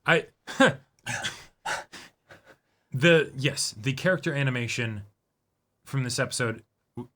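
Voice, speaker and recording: A man co-hosting a reaction show, chatting casually and haltingly as he thinks aloud with some animation. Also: medium pitch, pushed and bright, a little hiss or hum. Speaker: co-host of an anime reaction show. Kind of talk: casual conversational discussion.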